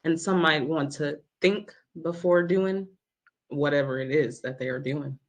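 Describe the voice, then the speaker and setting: A woman playing a composed, pointed hospital nurse in a screenplay table read, speaking in a measured way. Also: low voice. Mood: cool, pointed.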